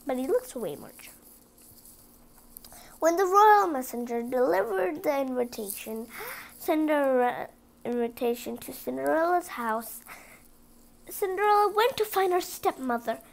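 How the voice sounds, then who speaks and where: A woman with a high voice and noticeable creak reads a children's story aloud. She takes her time and speaks expressively, chatting directly to young listeners as she goes. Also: animated, a little slow, long pauses. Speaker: storybook reader.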